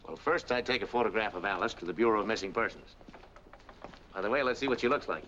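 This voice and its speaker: Actor as a brusque, forceful American skeptic. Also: male voice, lowish voice, fast, highs cut off, close to an everyday voice. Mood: brisk, practical. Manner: brusque, matter-of-fact, wry. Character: brusque, skeptical married man, hard-nosed fact-finder. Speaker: film actor. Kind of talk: 1940s film noir acting. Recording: 1940s film soundtrack, faint background noise.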